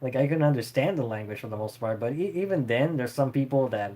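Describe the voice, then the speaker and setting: A man's voice: mid-range voice, animated, fast talker, warm tone. A man chatting casually and unscripted as a streamer answering viewer questions, in a rambling, hesitant way.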